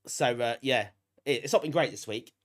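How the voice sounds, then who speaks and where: A man's voice: medium pitch, moderate pace. A British man with a bunged-up nose from a cold, chatting casually and self-deprecatingly to viewers as a live streamer, appreciative of them. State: bunged up, getting over a cold. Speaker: live streamer hosting a retro gaming stream.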